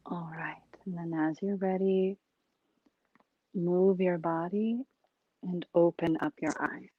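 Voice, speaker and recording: A woman from Hawaii guiding a meditation in a soft, breathy, drawn-out voice. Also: on the low side, steady tone, dry recording, phone-quality sound. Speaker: meditation guide. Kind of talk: slow guided meditation.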